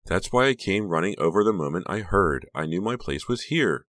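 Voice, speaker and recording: Casting: male reader as smothering best-friend teen girl, audiobook. Raised pitch, syrupy soothing manner, overdone concern. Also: lively intonation, normal speed, fairly bright tone, dry recording, studio quality. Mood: gushing sympathy. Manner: cloying, exaggeratedly soothing. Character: gushing, clingy teenage girl, smothering and overly sympathetic. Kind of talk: audiobook character dialogue.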